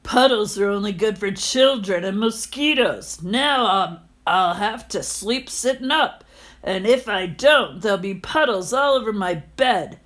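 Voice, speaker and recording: Storybook villain: grumpy, miserly old landlord played by a woman, slow, blustering, forever complaining. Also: medium pitch, expressive, a bit bright. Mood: grumbling. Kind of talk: storybook character voice.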